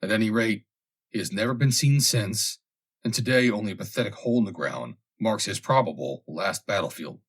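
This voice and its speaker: A man reads a comic vintage story aloud as scripted narration, in a measured, dramatic storytelling manner with deliberate pauses. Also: fairly low pitch, lively intonation, slightly rough. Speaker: audiobook reader.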